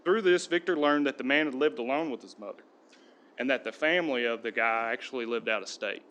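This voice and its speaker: A man, a police sergeant, giving a steady, earnest prepared speech at a podium in a council chamber. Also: mid-range voice, fairly quick, slight background noise. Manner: steady, earnest reading of a tribute.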